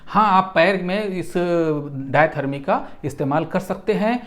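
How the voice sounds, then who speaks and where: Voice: medium pitch, measured pace. An instructor giving an explanatory medical lecture in a didactic way, restating terms and repeating phrases. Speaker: instructor in physiotherapy and Unani regimenal therapy.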